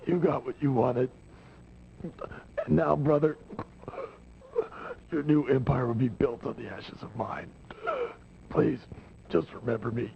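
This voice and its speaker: Dying rebel leader, creaky and slow. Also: male voice, mid-range voice, steady tone. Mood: bitter, fading. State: weak, gasping, dying. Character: mortally wounded, defeated rebel brother, bitter and pleading. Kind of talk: melodramatic video game acting. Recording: dull, noisy 1990s game audio.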